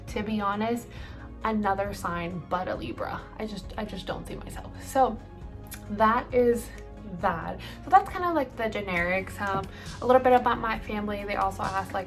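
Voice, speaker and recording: A woman's voice: mid-range voice, moderate pace. Chatty woman rambling over background music. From El Salvador. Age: late 20s. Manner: chatty and rambling, full of ums and likes. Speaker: beauty vlogger. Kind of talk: casual get-ready-with-me Q&A chat.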